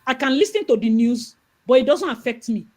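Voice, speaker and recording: Animated Nigerian woman telling stories. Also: sits high, a little fast, a crowd in the background. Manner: animated storyteller, slips into pidgin. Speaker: host. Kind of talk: casual chat.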